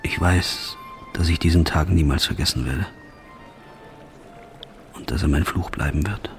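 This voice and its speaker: An actor playing a haunted, guilt-ridden killer in a radio drama monologue, brooding and measured, dropping into breathy near-whispers. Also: male voice, rumbling low, soft background music, exaggerated voice. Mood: haunted, desolate.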